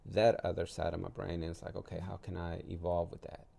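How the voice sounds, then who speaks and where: A man's voice: sits low, little pitch movement, fairly quick, gravelly, dark tone. A man from El Salvador, an artist and gallery owner, talking casually and anecdotally as an interview guest.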